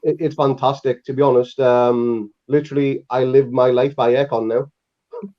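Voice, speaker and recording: A man's voice: mid-range voice, flat delivery, moderate pace. A laid-back man with a Northeast English accent talks with dry humour as a podcast guest. His voice comes through a video call and sounds a little dull and muffled. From northeast England. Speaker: podcast co-host. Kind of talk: casual podcast chat.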